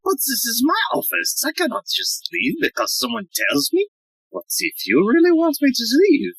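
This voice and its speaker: A woman playing a bumbling, earnest, oblivious police inspector in a farcical audio play, with a husky, theatrical delivery. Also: sits high, big pitch swings, moderate pace, no echo, heavily acted. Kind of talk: farcical audio drama acting.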